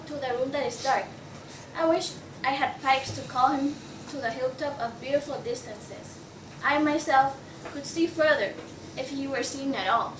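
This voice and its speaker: A woman's voice: sits high, distant mic, close to an everyday voice. A woman playing an earnest, idealistic journal worker, reading in a declamatory way at a table read of a stage play. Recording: echoey room from afar, clear noise.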